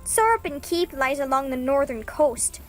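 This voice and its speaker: Fairy ranger: tiny, high-voiced, feisty and proud, fantasy audio drama. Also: female voice, lively intonation, moderate pace, faint music behind. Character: tiny fierce female fairy ranger, quick to accuse.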